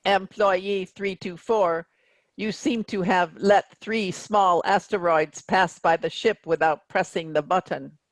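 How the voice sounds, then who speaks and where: A man voicing a cold, officious automated ship captain in formal, measured, humorless announcements. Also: high voice, fairly even intonation, no echo, rough recording. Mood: cold, stern. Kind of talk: virtual staged reading of a sci-fi play.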